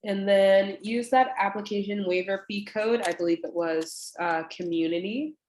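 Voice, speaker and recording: A woman's voice: medium pitch, fairly even intonation, normal speed, a little mellow, echoey room, a little hiss or hum. A young woman, an admissions representative with a stuffy, congested nose, casually walks viewers through an online application with lots of fillers. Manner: casual and friendly, many fillers. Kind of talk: walking through an online application on a screen share. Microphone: computer mic on a video call.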